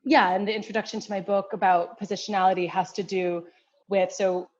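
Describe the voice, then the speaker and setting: A middle-aged woman from the United States speaks as an interview guest, mid-pitched and thoughtful, often restarting sentences and reaching for words. The recording sounds dull and lo-fi. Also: fairly even intonation, a little fast, slight background noise. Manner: thoughtful, many restarts and hedging fillers. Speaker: guest anthropologist. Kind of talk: casual interview answer.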